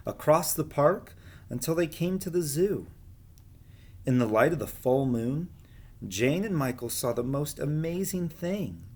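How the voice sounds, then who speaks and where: A man's voice: medium pitch, darkish tone, breathy edge. A man, a schoolteacher, reading a picture book aloud and chatting casually to his students.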